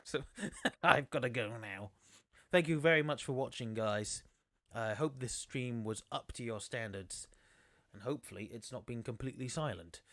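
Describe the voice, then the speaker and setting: A man's voice: medium pitch, moderate pace, fairly bright tone, dry recording, clean studio sound. A man commentating a sim racing league on a live stream, chatty and rambling, losing his voice. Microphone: desktop microphone.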